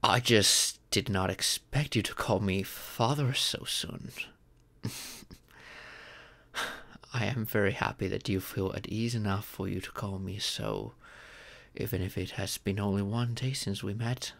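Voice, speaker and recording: A man voicing a gentle, proud dragon father and former king in a comfort roleplay, speaking formally and tenderly. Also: on the low side, fairly even intonation, gravelly, no echo. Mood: touched, pleased. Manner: formal, tender, paternal speech with deliberate pauses. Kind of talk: scripted comfort ASMR roleplay.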